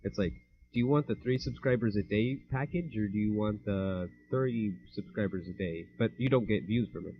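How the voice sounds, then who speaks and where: A young man co-hosting a homemade podcast, rambling loosely and laughing between thoughts. His voice sits a little low. The recording is dull and lo-fi, from a home microphone. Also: brisk. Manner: rambling, laughs often, mixes up words. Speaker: podcast co-host. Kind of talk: casual chat.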